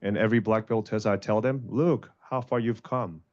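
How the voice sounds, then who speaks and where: Man, 30s, earnest motivational panelist; lowish voice, lo-fi sound. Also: fairly even intonation, moderate pace. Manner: earnest, motivational, repeats phrases. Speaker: panelist and martial arts instructor. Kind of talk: panel discussion answer.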